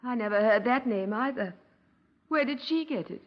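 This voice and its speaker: A woman's voice: a little high, expressive, moderate pace, dull audio, natural voice. An actress playing a distraught, talkative middle-class British woman grieving her younger sister, in effusive 1950s radio drama style on an old recording. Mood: puzzled. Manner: effusive, rambling, melodramatic.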